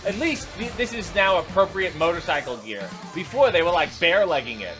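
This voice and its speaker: A man's voice: high voice, animated, fairly quick, over music. A man hosting a reaction video, giving unscripted running commentary in an exasperated, sarcastic, incredulous way.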